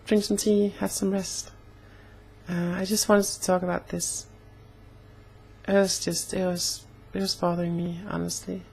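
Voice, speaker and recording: A young woman talking casually in a personal vlog, slightly hoarse, with an earnest, halting way of speaking. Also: lowish voice, little pitch movement, darkish tone, rough recording. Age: young adult. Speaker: vlogger.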